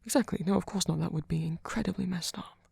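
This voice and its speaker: A man playing a gentle, centuries-old vampire boyfriend in an ASMR roleplay, speaking softly in a breathy, husky half-whisper with a hesitant, comforting manner. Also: sits high, quick pace, no room sound, exaggerated voice. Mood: tender, consoling. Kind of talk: ASMR roleplay, soft intimate monologue.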